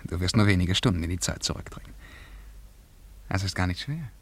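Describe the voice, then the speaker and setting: An actor in a radio drama playing a treacherous old scholar with a husky voice and a coaxing, insinuating manner. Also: male voice, low voice, lively intonation, a little fast, heavily acted. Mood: sly, coaxing. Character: sly old scholar, treacherous and ingratiating tempter.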